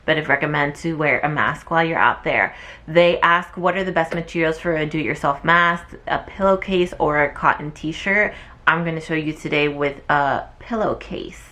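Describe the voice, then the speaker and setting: A woman's voice: low voice, normal speed, pushed and bright. A young woman, a nursing student, chatting casually to camera with lots of fillers, her voice wearing thin from talking. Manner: chatty and rambling, many fillers. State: losing her voice.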